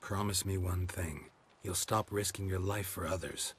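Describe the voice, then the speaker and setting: An actor playing a gruff, laconic monster hunter in a video game, with terse and understated delivery. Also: male voice, low voice, steady tone, normal speed, slight background noise, close to an everyday voice. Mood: tender, restrained. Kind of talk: video game cutscene dialogue.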